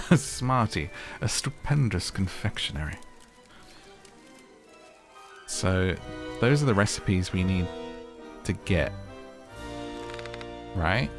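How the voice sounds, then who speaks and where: A man's voice: low voice, lively intonation, slow, pauses often, over music. A man giving casual gameplay commentary, playful and chatty as he improvises. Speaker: gaming video host.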